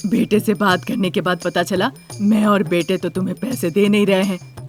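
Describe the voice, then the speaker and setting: A woman puts on an older mother-in-law for a cartoon moral story. She is watchful and measured, speaking at a middling pitch lower than a young girl's. Music is clearly heard behind her. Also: fairly even intonation, lightly acted. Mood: calm, forgiving. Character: watchful, worried older mother-in-law who keeps sheep.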